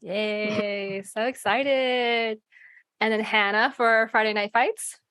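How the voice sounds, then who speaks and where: A woman's voice: fairly high pitch, steady tone, slow, a bit bright, clean tone, no room sound. A woman hosting a podcast panel in casual chat, giggly and informal.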